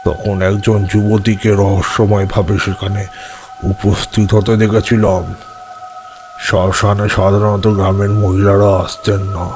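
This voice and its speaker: A man playing an old tantric who recounts eerie memories in a deliberate, measured way for an audio horror drama. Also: on the low side, close to an everyday voice.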